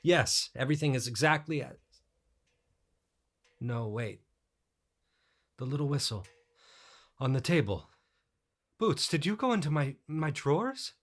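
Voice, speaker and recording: An actor playing a troubled, grieving middle-aged man, reflective and halting, narrating in an audio drama. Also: fairly high pitch, big pitch swings, no room sound, heavily acted. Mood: uneasy. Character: troubled, wistful grieving man returning to childhood haunt. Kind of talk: audio drama acting with first-person narration.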